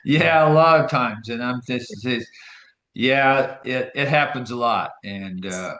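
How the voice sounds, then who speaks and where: A bright-voiced man chats casually on a group call from a roomy, echoing space. He is inquisitive, putting friendly small-talk questions to the others. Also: medium pitch, takes it slowly. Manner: chatty, inquisitive. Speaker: session participant.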